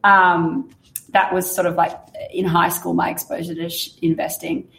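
A hoarse, croaky Australian woman sits low in her range with a gravelly edge. She chats casually and laughs at herself a lot, and the room adds a noticeable echo. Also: little pitch movement, moderate pace, a bit bright. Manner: chatty, laughs often, self-deprecating. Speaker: fund manager guest. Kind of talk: casual interview chat.

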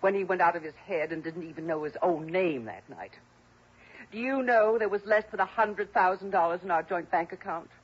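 An actress playing an imperious, melodramatic middle-aged wife who is quick to take offence, in a 1960s radio drama. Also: female voice, on the low side, expressive, slightly rough. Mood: self-pitying. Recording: dull, lo-fi old radio recording.

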